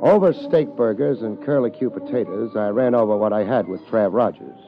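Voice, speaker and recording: An American actor playing a laid-back, wisecracking hard-boiled private investigator in a 1950s radio detective drama. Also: male voice, on the low side, a little fast, music in the background, natural voice. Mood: wry, musing. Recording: muffled old 1950s radio recording.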